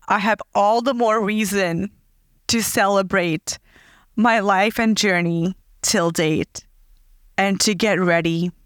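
Earnest woman with a smooth voice. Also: medium pitch, no room sound, clean studio sound. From Fiji. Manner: earnest and heartfelt, slowing to dwell on words. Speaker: podcast host. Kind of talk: reflective personal monologue.

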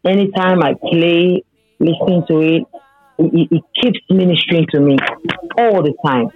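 A woman gospel singer interviewed over the phone, speaking haltingly with hesitant restarts. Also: on the low side, no room sound. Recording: tinny phone line, faint music.

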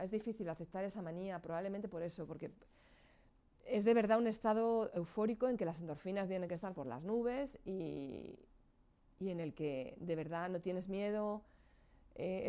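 A woman speaking Spanish as a second language, telling her own story with frequent pauses and restarts. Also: on the low side, dark tone. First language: not Spanish. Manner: hesitant, searching for words with frequent restarts. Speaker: guest sharing her experience. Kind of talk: candid personal account.

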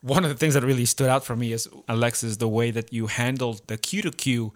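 A man in a casual, reflective discussion, speaking haltingly and repeating words as he works out his thoughts. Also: mid-range voice, fairly even intonation. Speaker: theatre company podcast speaker.

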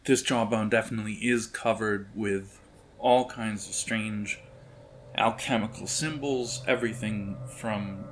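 A man improvising narration as game master of a tabletop game, with a halting, descriptive delivery. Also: lowish voice, fairly even intonation, a bit bright, faint music behind.

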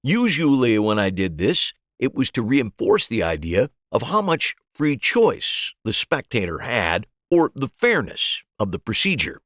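A man's voice: medium pitch, big pitch swings, measured pace, hardly any pauses, fairly bright tone, dry recording, studio quality. A man reading a first-person story aloud as a scripted narration. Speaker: audiobook narrator.

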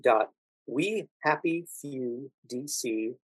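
An American man with a calm, quiet, measured way of talking, speaking conversationally as a podcast guest. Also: mid-range voice, breathy edge, no room sound, rough recording. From Washington DC, United States. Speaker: podcast guest, actor and standardized patient. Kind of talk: conversational storytelling interview.

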